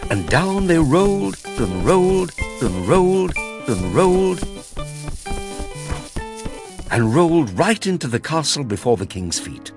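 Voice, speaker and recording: A man narrating a children's fairy tale in an expressive, theatrical storytelling manner. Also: fairly high pitch, slow. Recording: music behind the voice. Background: music.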